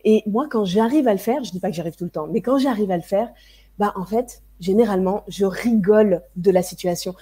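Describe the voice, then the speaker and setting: A woman in her thirties talks parents through handling tantrums on a live stream. Her voice is mellow and sits at a middle pitch. She speaks casually and hesitantly, often restarting her sentences and filling pauses. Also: husky. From France. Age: 30s. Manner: hesitant, many fillers and restarts. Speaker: parenting coach. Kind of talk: live stream advice talk.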